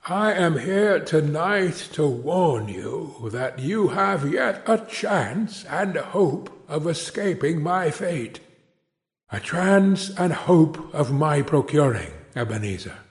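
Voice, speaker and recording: A man's voice: on the high side, lively intonation, takes it slowly. A man voicing the anguished ghost of an old businessman bound in chains, solemn and grieving as he declaims his warnings. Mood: mournful warning.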